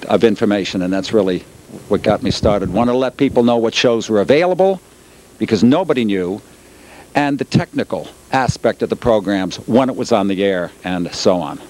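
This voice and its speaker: A middle-aged man giving casual interview answers in a halting, matter-of-fact way. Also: fairly low pitch, expressive, darkish tone, slight background noise, poor audio. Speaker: newsletter publisher and convention organizer.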